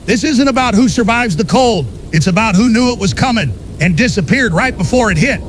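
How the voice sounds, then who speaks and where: A high-voiced, paranoid conspiracy broadcaster acted by a man delivers an apocalyptic monologue for an audio drama. He is defiant and accusatory, pressing every point with rhetorical force over a faint rumbling noise. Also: animated, brisk. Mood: accusing, urgent.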